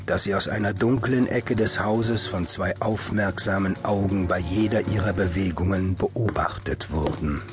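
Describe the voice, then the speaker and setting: A man's voice: sits low, moderate pace, few pauses. A man narrating a fantasy radio drama in a grave, ominous tone. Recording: loud music bed under the voice.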